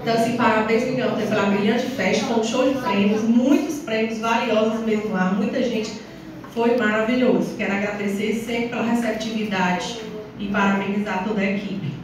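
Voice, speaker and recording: A woman with a northeastern Brazilian accent giving a semi-formal, somewhat halting public speech as a town councillor. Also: a little high, steady tone, crowd noise. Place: small council chamber, indoors. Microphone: phone or camera several metres away. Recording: distant, very echoey, noisy room recording.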